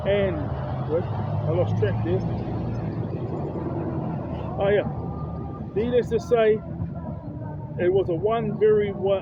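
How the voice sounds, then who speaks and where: A man in his late thirties with a New Zealand accent, giving an earnest, personal motivational monologue and often repeating or restarting phrases. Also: sits high. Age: 30s. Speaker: self-help vlogger. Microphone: phone held close. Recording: tinny phone audio, loud street traffic. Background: cars and street noise.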